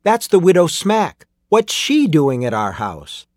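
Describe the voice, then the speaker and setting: A man's voice: a little high, very animated, a little slow, darkish tone, no echo, studio quality, pitched above the performer's own voice. A man voicing an earnest, urgent young country boy in an audiobook story, speaking with a raised, pleading voice. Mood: puzzled. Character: frantic young country boy, devoted to his mother.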